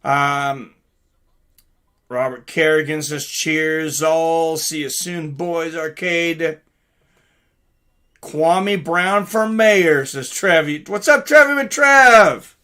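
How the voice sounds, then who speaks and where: A man's voice: on the high side, very slow, pushed and bright, clear, resonant tone. A man hosting a casual live reaction stream, chatting informally with viewers and commenting on fights. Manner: chatty, informal, greets viewers and reads chat aloud.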